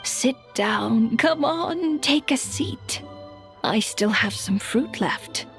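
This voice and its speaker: A woman's voice: a little high, lively intonation. A woman playing a kindly, forgetful old grandmother in a video game, hesitant and doting, with a wavering, aged voice. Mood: fussing, cheerful. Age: older. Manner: slow, hesitant, fussing and doting. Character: forgetful, kindly old granny with failing memory. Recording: background music under the dialogue.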